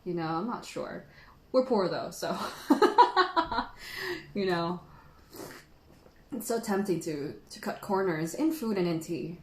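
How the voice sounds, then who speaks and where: A woman's voice: lowish voice, slow pace, pauses often, darkish tone, a little hiss or hum. Chatty woman in an echoey room. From Philippines. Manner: chatty, laughs often, reads out viewer comments. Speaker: tea livestream host. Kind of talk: casual livestream chat while tasting tea.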